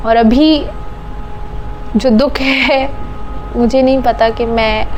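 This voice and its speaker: A sorrowful woman giving a heartfelt spoken tribute, halting as she searches for words and slipping in English phrases. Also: fairly high pitch, noisy background. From Pakistan. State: grieving, sorrowful. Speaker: singer paying tribute.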